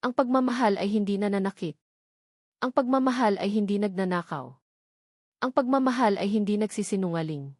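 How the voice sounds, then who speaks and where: A woman's voice: mid-range voice, a little fast, a little mellow, no room sound, studio quality, close to an everyday voice. A woman voicing a wounded, resolute grown son confronting his mother in a dramatic story, cold and accusing in an emphatic way. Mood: hurt, angry.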